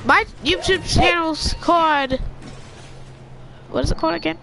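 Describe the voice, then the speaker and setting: A woman's voice: very high-pitched, unhurried, vocal fry, a bit bright, faint music behind. A woman teammate in a game's voice chat, eager and insistent about getting a word in. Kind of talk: casual game voice chat.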